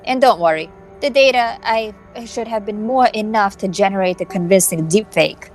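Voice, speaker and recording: Une femme jouant une hackeuse désinvolte et complice. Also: female voice, mid-range voice, normal speed, faint music behind, lightly acted. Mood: breezy, teasing. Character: breezy, flirty female hacker scheming confidently. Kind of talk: amateur visual novel voice acting.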